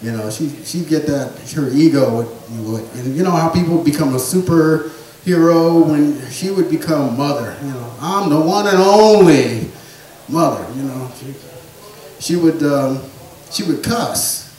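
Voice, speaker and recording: A man telling rambling family stories in a stand-up set, with a soft voice he says he has. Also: on the high side, animated, measured pace, heavy reverb, distant mic, rough recording. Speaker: stand-up comedian. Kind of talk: storytelling stand-up comedy. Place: small theatre or bar stage.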